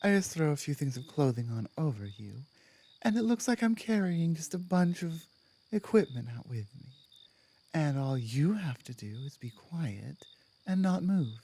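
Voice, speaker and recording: A woman playing a big, strong female minotaur guard captain in an ASMR roleplay, gruff but protective, firm and teasing, sometimes dropping to a hush. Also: sits low, big pitch swings, normal speed, exaggerated voice. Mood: calm, reassuring.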